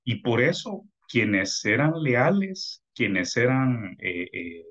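An older man, an international analyst, speaking slowly and deliberately over a video call, with many hesitant pauses. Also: medium pitch, very animated, a bit bright. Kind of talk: remote news interview. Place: home office by video call. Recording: dull lo-fi video call audio.

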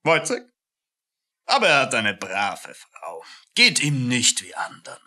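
An actor playing a pompous, blustering army captain with a teasing, taunting manner in a radio play. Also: male voice, mid-range voice, wildly swooping pitch, unhurried, pushed and bright, exaggerated voice. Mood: sly taunting. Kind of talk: radio drama acting.

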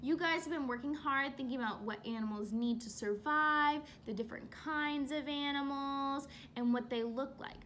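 A young woman teacher reading a children's book aloud and asking questions in an expressive, instructive way. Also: high-pitched, normal speed, hardly any pauses, a little hiss or hum. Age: young adult. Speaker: elementary school teacher. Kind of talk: children's read-aloud lesson. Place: small room at home.

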